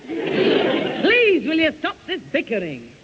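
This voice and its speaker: A harried mother in an old British radio comedy, polite but quick to scold. Her pitch moves about a lot, and the recording is dull and faintly hissy. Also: huge pitch swings, some chatter behind, exaggerated voice. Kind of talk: British radio sitcom acting.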